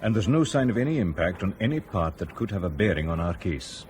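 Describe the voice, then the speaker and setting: An actor playing a senior fleet pilot in a radio drama, measured and sceptical, reasoning deliberately with sardonic emphasis. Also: male voice, low-pitched, sing-song, over music. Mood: grave, firm.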